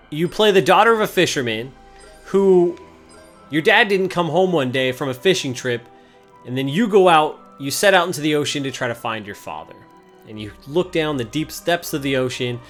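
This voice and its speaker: A man's voice: a little high, a bit bright. A man giving casual, conversational gameplay commentary as the host of a video game series. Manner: informal, conversational. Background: game music.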